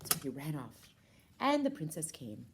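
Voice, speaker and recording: A woman's voice: sits low, very animated, unhurried, slight background noise. A woman storyteller narrating a children's puppet show.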